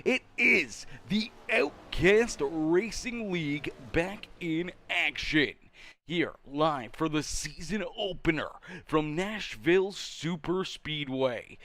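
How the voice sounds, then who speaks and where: Congested, higher-pitched male race commentator. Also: takes it slowly. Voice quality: slightly nasal. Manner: energetic hype calls with frequent fillers and restarts. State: sick with a cold, congested. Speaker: sim racing play-by-play commentator.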